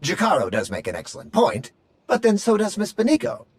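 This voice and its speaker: Prim droid with swooping intonation. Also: male voice, high voice, huge pitch swings, fairly quick, slightly rough, no room sound, exaggerated voice. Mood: prim, eager. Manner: prim, formal, theatrical. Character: fussy, polite, bloodthirsty male droid. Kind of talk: video game voice acting.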